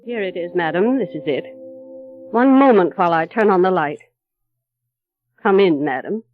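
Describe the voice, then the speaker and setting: An actress playing an obsessive, menacing housekeeper in a radio drama, speaking slowly and insinuatingly. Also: female voice, on the low side, lively intonation, lightly acted. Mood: coldly insinuating. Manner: slow, insinuating, deliberate. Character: obsessive, menacing housekeeper devoted to her dead mistress. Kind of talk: 1930s radio drama acting. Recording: muffled old radio recording with music.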